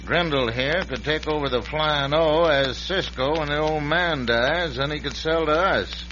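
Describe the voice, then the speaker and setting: An American actor playing a cautious, corruptible small-town lawyer with a measured, folksy manner in a radio western. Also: male voice, on the high side, pushed and bright. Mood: calculating. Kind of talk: old-time western radio drama acting. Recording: dull, faintly noisy old radio recording.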